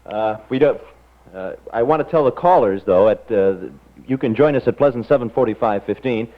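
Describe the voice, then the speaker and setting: A man's voice: mid-range voice, fairly quick. A man hosting a call-in radio talk show in a conversational style. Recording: muffled 1960s radio broadcast tape.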